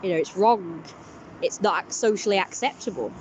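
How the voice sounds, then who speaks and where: A woman vlogging casually with a blocked-up, congested voice. She talks in a halting, rambling way, full of restarts and fillers. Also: mid-range voice, lively intonation, darkish tone, muffled recording, slight background noise. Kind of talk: casual personal vlog talk.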